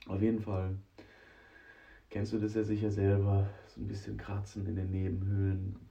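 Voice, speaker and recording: A man's voice: low voice, completely flat delivery, moderate pace, dark tone, recorded from a distance. Male podcast host with a cold, scratchy and nasal, chatty to the listener, recorded a bit off-mic and lo-fi. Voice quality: rough, scratchy voice. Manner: chatty, addresses listener directly, frequent "ne?". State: hoarse and congested from a cold. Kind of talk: casual solo podcast monologue.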